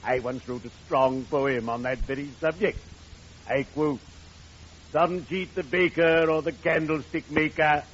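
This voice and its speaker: An actor playing a morbidly genial undertaker in an old radio comedy, solemn, formal and punning. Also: male voice, a little high, steady tone, fairly bright tone. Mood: droll. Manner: formal, grandly deliberate, punning. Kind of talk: 1940s radio comedy acting. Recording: dull, noisy old radio recording.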